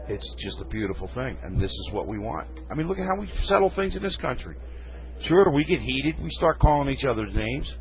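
A man's voice: on the low side, slight background noise. A man hosting a radio talk show, reading news stories and adding folksy, rambling comments while losing his voice. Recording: phone-like lo-fi radio.

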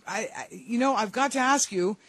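A woman hosting a radio golf show, chatty and quick to laugh, with a cold. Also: fairly high pitch, a bit bright, no echo. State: has a cold. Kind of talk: casual radio interview.

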